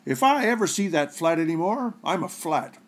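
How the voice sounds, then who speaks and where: A man's voice: fairly high pitch, big pitch swings, moderate pace. A man playing a henpecked, tipsy old dog owner in a storybook, with folksy, slangy talk. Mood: resolved.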